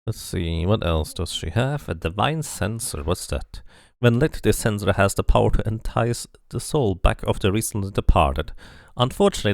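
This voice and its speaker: A man's voice: lowish voice, gravelly, dry recording, clean studio sound. A man doing a casual game playthrough, commenting and reading the game's text aloud. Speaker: game streamer.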